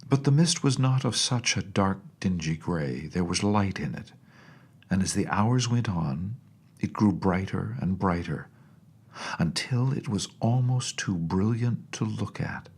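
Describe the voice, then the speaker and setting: A man reading a classic children's story as an audiobook narrator, in a measured and expressive way. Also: deep voice, slightly rough.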